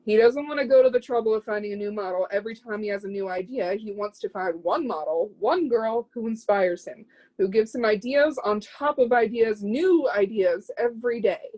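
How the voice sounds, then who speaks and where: A woman's voice: mid-range voice, normal speed, darkish tone, close to an everyday voice, low-quality recording. A woman playing a proud, zealous would-be artist's muse in a play reading, speaking with lofty, scornful emphasis. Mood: fervent.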